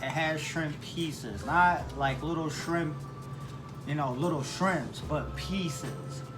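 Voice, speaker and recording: A man from Michigan talks casually on a mukbang vlog, rambling and pausing with fillers as he explains food. His voice sits a little high for a man. Clear background music runs under him. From Michigan, United States. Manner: rambling, halting, many fillers. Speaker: vlog co-host.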